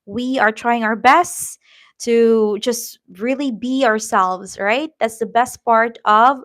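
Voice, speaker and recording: Filipino woman, livestream host, slightly high voice, slow and encouraging with frequent fillers. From Philippines. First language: Tagalog. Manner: warm, encouraging, reads viewer comments, many "um" fillers. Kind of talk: casual live-stream chat.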